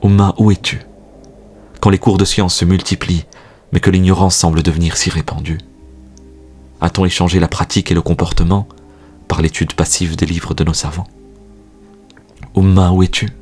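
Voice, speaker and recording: A man with a deep, husky voice delivers solemn spoken-word poetry over music. His intonation stays flat and controlled. He speaks earnestly, exhorting the listener through rhetorical questions. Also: normal speed, long pauses. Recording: music bed behind the voice.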